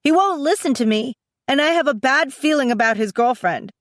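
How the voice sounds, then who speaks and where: A woman's voice: fairly high pitch, measured pace, no room sound, clean studio sound, pitched above the performer's own voice, close to an everyday voice. A woman voicing a fiercely protective college-age sister in an audiobook, emotional and exasperated, with an emphatic, pleading delivery. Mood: agitated, venting. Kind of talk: dramatised audiobook dialogue.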